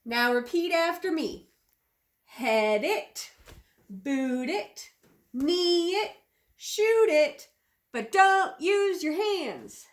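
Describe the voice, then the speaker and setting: Lady reading as a frog dad coach, really high voice, singsong calls, bossy and exasperated, storybook style. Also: animated, extremely slow, echoey room, full character voice. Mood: instructing. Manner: calling out and drilling rules in a chant.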